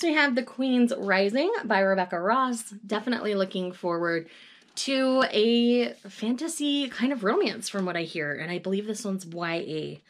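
A woman, chatting casually and cheerfully about her reading plans, laughing now and then. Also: medium pitch. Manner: chatty and upbeat, laughs between book titles. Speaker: book vlogger. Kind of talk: casual reading-plan chat. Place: small room in front of full bookshelves. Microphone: webcam or camera at desk distance.

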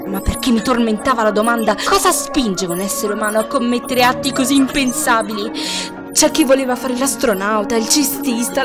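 Casting: woman as breathless, indignant young student in a dubbed drama, high voice, emphatic rambling, music underneath. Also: natural voice. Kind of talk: amateur Italian fan dub of a TV drama. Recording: music behind the voice.

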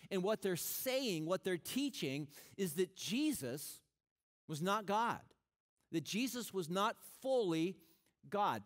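A middle-aged man preaching a sermon on a church stage, animated and conversational, often restarting his sentences. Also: sits high. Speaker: pastor.

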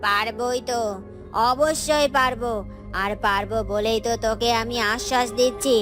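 A woman's voice: on the high side, moderate pace, over music, lightly acted. A woman voicing a sinister, boastful witch in a fairy-tale cartoon, with a commanding, self-satisfied manner. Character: sinister boastful witch who worships dark powers.

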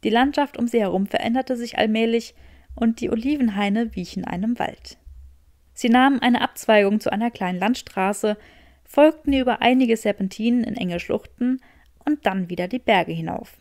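A woman reading a story as an audiobook narrator, with expressive scripted delivery. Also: a little high, moderate pace, dry recording, clean studio sound.

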